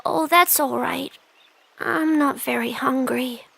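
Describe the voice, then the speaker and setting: A woman's voice: high voice, slow, gravelly, bright, forceful tone, breathy edge, no echo, exaggerated voice. A woman voicing a tiny, timid young male caterpillar in a children's audiobook, with a small, hesitant, childlike voice. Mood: puzzled. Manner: hesitant, wide-eyed questioning. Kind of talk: children's audiobook character acting.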